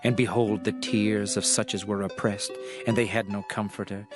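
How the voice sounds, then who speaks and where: A man gives a dramatized audio Bible reading in a solemn, measured, declamatory delivery. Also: fairly low pitch, flat delivery. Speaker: scripture narrator. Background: background music.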